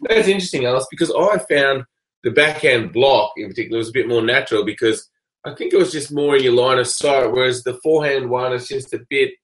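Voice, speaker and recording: A man with a raspy voice from a cold, co-hosting and reading viewer questions in an easygoing, joking way. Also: mid-range voice, fairly quick, fairly bright tone. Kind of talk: casual chat.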